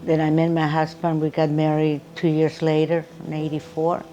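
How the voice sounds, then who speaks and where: An older woman with a low voice and a Chilean Spanish accent speaks in a personal interview. She uses short, simple phrases, and music plays clearly behind her. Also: completely flat delivery, normal speed, dark tone, highs cut off. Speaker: interviewee, mother of a missing person.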